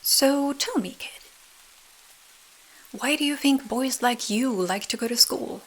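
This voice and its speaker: A woman's voice: fairly high pitch, slow, poor audio. A woman voicing a sly male coachman in a bedtime story, wheedling and conspiratorial. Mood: tempting. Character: sly coaxing male coachman luring children with treats.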